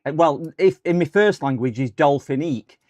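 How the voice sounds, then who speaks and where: A man's voice: a little high, normal speed, darkish tone. A British man chatting casually on a repair livestream, rambling in a jokey, mock-indignant manner. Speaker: streamer doing electronics repairs. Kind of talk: casual livestream chat and prank phone call.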